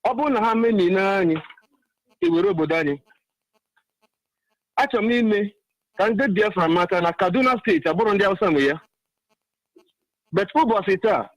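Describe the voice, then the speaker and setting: Male caller, Igbo Nigerian accent, high pitch, emphatic, repetitive, dry sound, political phone-in. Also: dry recording, dull audio. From Ebonyi State, Nigeria. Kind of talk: impassioned political phone-in monologue.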